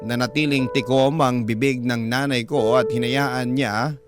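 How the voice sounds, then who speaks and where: Lalaking malungkot na tagapagsalaysay, malakas ang musika. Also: male voice, mid-range voice, steady tone, unhurried, natural voice. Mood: sorrowful. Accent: Filipino. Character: sorrowful letter writer recounting childhood family troubles. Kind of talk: scripted radio drama narration. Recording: loud music behind the voice.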